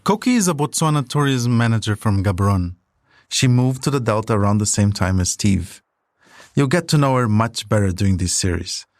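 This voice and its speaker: Low-voiced man narrating a documentary podcast. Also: lively intonation, brisk, no room sound, studio quality. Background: music.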